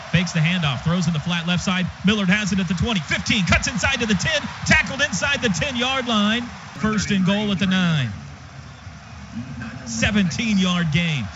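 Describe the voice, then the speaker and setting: An American man doing radio play-by-play of a football game in clipped present-tense phrases, excitable on big plays. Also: sits high. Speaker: play-by-play announcer. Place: stadium radio booth. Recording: dull, noisy stadium radio broadcast.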